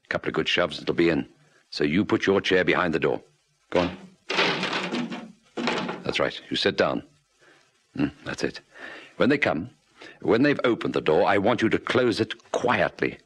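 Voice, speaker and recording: A commanding police officer with an extremely deep voice. He is calm, courteous and measured, with quiet authority, in vintage radio drama style. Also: slight background noise. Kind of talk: old radio drama acting.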